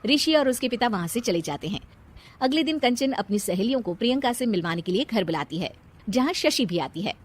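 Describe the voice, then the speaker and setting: A woman with a fairly high voice serves as storyteller. She delivers scripted narration for an animated moral tale. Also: a little fast.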